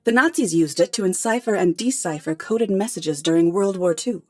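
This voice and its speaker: An actress playing a poised, articulate professor in a radio drama, explaining things in a measured, polite way. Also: female voice, fairly low pitch, darkish tone, no room sound, clean studio sound, natural voice. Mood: explanatory. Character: poised, articulate female professor hiring a detective.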